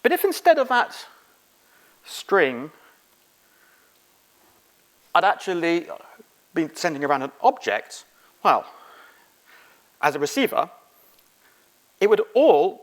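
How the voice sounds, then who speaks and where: A middle-aged man speaking as a conference presenter, giving an informal, halting technical lecture. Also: sits high, fairly bright tone. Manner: informal, halting, quizzing the audience. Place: conference room, on stage.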